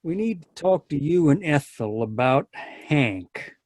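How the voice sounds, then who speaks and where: A man plays an easygoing country sheriff in a radio play. He hems and hesitates slowly in a slightly high male voice, folksy throughout. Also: sing-song, darkish tone, no room sound. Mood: folksy, hesitant. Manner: halting, hemming. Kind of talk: old-time radio drama acting.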